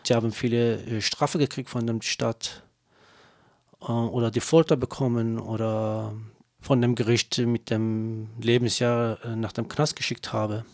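A Kurdish singer whose first language is Kurdish, speaking hesitant, broken German with many fillers while being interviewed. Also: male voice, mid-range voice, flat delivery, darkish tone, no room sound, studio quality. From Kurdish region of Turkey. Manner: halting non-native German with many fillers. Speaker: singer, guest. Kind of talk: interview answers.

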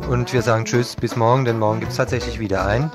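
A man's voice: mid-range voice, steady tone, fast. A man presenting an informal sign-off on a radio show. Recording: radio show over loud music. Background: prominent music.

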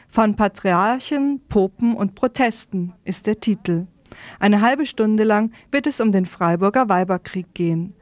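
A woman's voice: medium pitch, a little mellow, dry recording. Dry-sounding woman radio presenter. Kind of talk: scripted radio narration and announcements.

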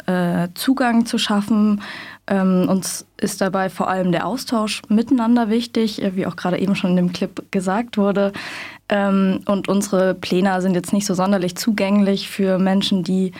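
Young woman activist as studio guest, mid-pitched, hesitant and thoughtful. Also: a little mellow. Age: young adult. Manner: thoughtful, many ums and restarts. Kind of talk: studio interview. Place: radio studio.

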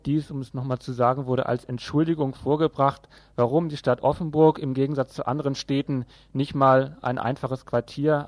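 Male community-style radio announcer, informal, medium pitch, close dry sound. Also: a little mellow, dry recording. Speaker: radio presenter. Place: radio studio.

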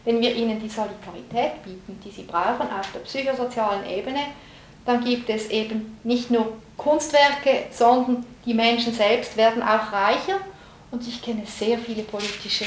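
A woman giving a public lecture in an earnest, emphatic, academic manner. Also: a little high. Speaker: conference speaker on psychotherapy and trauma. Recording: echoey room with background noise.

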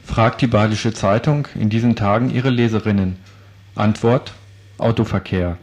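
A man's voice: lowish voice, normal speed, a little mellow. A man reading a scripted, critical commentary as a radio presenter.

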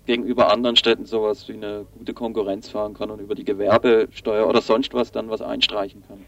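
Low, even-toned male interviewer, slightly distant. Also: fast talker. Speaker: radio interviewer. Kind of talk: spontaneous interview questions. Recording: distant, slightly dull microphone pickup.

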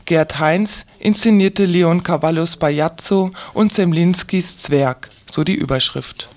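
A woman's voice: fairly low pitch, darkish tone. A woman reading a scripted, critical commentary for a radio programme.

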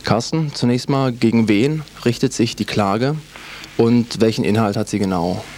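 A man conducting a radio interview, putting measured questions to his guest. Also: medium pitch, darkish tone.

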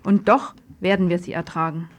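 A woman's voice: fairly low pitch, fairly even intonation, moderate pace, slight background noise. A woman radio presenter reading a scripted political news report.

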